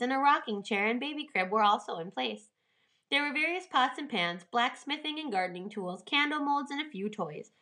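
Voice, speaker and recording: A woman's voice: a little high, pushed and bright. A woman storyteller reading a children's storybook aloud with expression.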